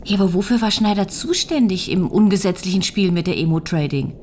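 An actress playing an inquisitive, drily witty widow turned amateur sleuth in a radio crime drama. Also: female voice, medium pitch, lively intonation, a little fast, darkish tone, a little hiss or hum, lightly acted. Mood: puzzled. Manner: questioning, wry.

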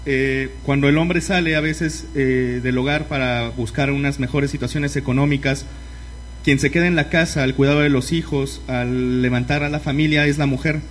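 A man, a lawyer, giving a measured explanation at a community event. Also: medium pitch, fairly even intonation, a little hiss or hum. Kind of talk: explanatory talk.

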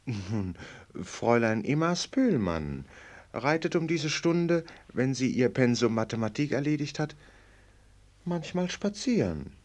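Sardonic, theatrical commentator, very lively melody. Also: male voice, medium pitch, unhurried. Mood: teasing. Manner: ironic, theatrical, rhetorical, teasing. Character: sardonic knowing male commentator needling a prince. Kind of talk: German radio play acting.

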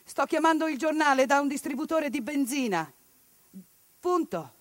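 A woman's voice: high voice, expressive, no room sound. Grave woman dictating a report. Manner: grave, measured, reads punctuation aloud. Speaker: stage performer. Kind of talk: theatrical monologue, dictated report. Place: radio studio or stage.

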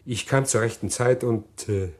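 A man acting in a German radio crime drama, playing a desperate, cold-blooded condemned killer who confesses in deliberate detail and slips into agitated pleading. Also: mid-range voice, close to an everyday voice. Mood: cold, intent confession.

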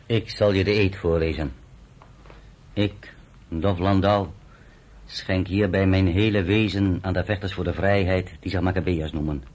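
A stern resistance commander in a radio play. The voice is very deep and declaims solemnly, each phrase measured and weighty. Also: male voice, steady tone, a little mellow. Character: stern male underground field commander administering an oath. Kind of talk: radio drama acting.

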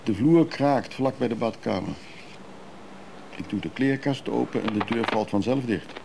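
Actor doing evasive hotel guest guy in a radio play, creaky voice, terse and halting, bit of background noise. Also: mid-range voice, close to an everyday voice. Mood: halting, reflective.

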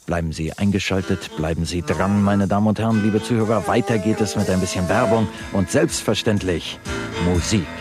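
A man's voice: deep voice, moderate pace, music in the background, close to an everyday voice. An actor playing an unctuous, formal male radio show host in a satirical radio drama. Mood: smooth, formal. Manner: formal, effusive, showy. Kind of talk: radio drama acting, broadcast presenting.

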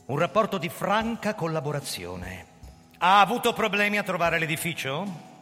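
An actor playing a proud, defensive older agency founder who narrates his story in a measured, theatrical radio-drama delivery. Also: on the high side, big pitch swings, bright, forceful tone. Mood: guarded, defensive. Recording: long hall reverb, music underneath. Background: music.

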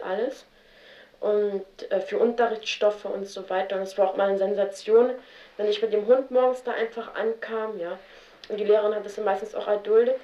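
A young woman telling about her childhood in a halting, spontaneous way, often with fillers and restarts. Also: mid-range voice, a little mellow. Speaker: interviewee. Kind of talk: spontaneous personal account. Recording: roomy, dull 1970s radio tape.

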